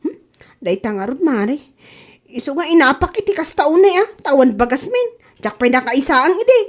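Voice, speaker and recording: A woman's voice: high-pitched, very animated, moderate pace. A woman with a Filipino Ilocano accent playing a hard-up, complaining wife who keeps borrowing, in a comedy radio drama. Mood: downcast.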